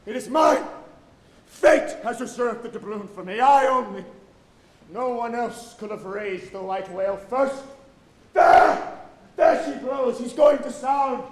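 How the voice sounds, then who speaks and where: Man acting high, commanding old whaling captain. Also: slow pace, pauses often. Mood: commanding, frenzied. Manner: declamatory, grandiose. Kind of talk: theatrical stage acting of a literary tragedy. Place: stage of a college auditorium. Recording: echoey auditorium, low rumbling noise.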